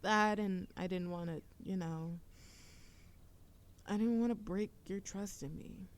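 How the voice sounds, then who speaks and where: Slow-talking, teasing young witch played by a woman. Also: medium pitch, lightly acted. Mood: earnest, tender. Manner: teasing, affectionate, breathy laughs and whispers. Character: playful, teasing young witch, smitten childhood friend. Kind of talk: intimate romantic audio roleplay.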